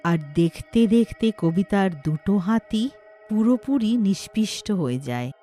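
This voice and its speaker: A woman narrates a scripted moral story. Her mid-range voice moves up and down in an animated way, with faint music playing behind it. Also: mid-range voice, measured pace.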